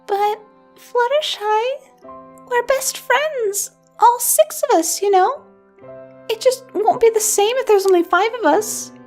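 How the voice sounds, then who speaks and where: A woman voicing a bubbly, high-pitched young pony mare who keeps up a cheerful front in a storybook tale, over music. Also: slow pace. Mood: saddened. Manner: excitable, exclaiming.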